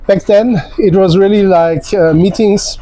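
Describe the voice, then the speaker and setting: A male interviewer speaks deliberately and without hurry, his voice mellow and warm. The recording is dry and close. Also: sits high, fairly even intonation, no echo. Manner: deliberate, unhurried, fond of farming imagery. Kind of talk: interview questions.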